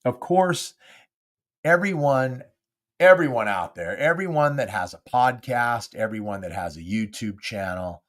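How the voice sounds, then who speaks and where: A man's voice: mid-range voice, lively intonation, measured pace. A man hosting his own podcast, chatting casually and rambling with lots of fillers while nasal and congested from a cold. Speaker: podcast host. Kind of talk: casual solo monologue. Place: home studio.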